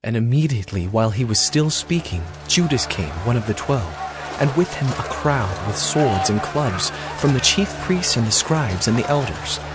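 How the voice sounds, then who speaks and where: A man's voice: mid-range voice, expressive, normal speed, loud music behind the voice. A man narrating a dramatized scripture story from a script.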